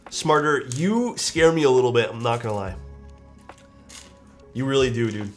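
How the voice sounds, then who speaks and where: A man's voice: mid-range voice, lively intonation, moderate pace, soft background music. A young man with a stuffed-up, nasal voice, chatting casually on a live stream while he builds a keyboard and reads out chat. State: stuffed-up nose.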